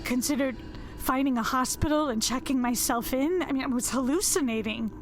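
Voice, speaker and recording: An actress playing a distraught, haunted woman in an audio drama, speaking haltingly as she confides in her psychologist. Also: high-pitched, music in the background. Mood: shaken, haunted. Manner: halting, repeating words, trailing off.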